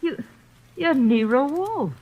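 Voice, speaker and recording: A woman's voice: high voice, expressive, slow. An actress playing a wary, anxious, streetwise young woman in a 1940s radio play, on a dull old radio recording. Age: young adult.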